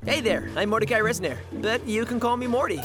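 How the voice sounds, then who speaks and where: Young game hero, played by a man, high-pitched, lively delivery, demo reel, loud backing music. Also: a little fast, lightly acted. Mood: cheerful.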